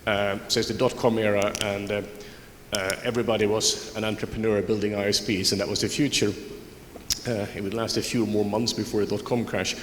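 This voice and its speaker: Finnish male panelist, low voice, reverberant hall with faint noise. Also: level tone, a little fast. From Finland. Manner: reflective, with frequent restarts. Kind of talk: conference panel talk. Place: large conference hall. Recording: roomy hall with background noise.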